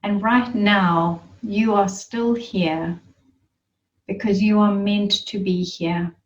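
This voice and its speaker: A woman leading a guided meditation in a soothing, reverent manner, leaving long pauses between phrases. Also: medium pitch, fairly even intonation. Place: online group gathering. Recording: muffled, roomy, distant online-call sound.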